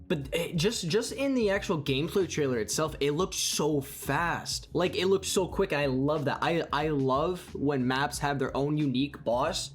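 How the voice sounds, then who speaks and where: A young man with a congested, sniffly voice, giving enthusiastic, rambling commentary on a game trailer. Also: on the high side. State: congested with a cold. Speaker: gaming YouTuber. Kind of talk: excited gameplay commentary. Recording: faint music behind.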